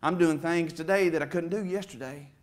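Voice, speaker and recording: A man's voice: a little high, fairly quick. A middle-aged man preaching a heartfelt testimony, with emphatic swells and an emotional edge. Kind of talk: sermon testimony. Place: church sanctuary with stage. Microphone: far camera.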